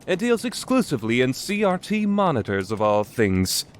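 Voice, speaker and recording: A man's voice: medium pitch, wildly swooping pitch, normal speed, slight background noise. A man playing a grandiose, self-styled mad scientist in an anime fan dub, with pompous, dramatic delivery. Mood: boastful.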